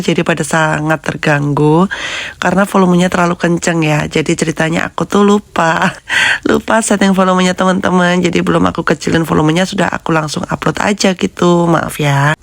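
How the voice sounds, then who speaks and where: A woman vlogger with a hoarse, congested voice from a cold, chatting with viewers as friends and steadily reading out figures. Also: fairly low pitch, steady tone, brisk, dry recording. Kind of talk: casual budgeting vlog talk.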